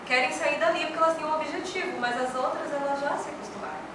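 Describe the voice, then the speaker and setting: A woman's voice: high voice, fast. A Brazilian woman talking informally in a group discussion. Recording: very echoey, distant mic, room noise.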